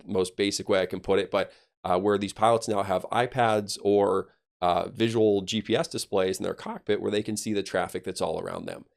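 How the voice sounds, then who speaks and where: A man's voice: fairly low pitch, fairly even intonation, brisk, vocal fry. A man talking as an air traffic controller guest on a podcast, explaining things conversationally and with emphasis.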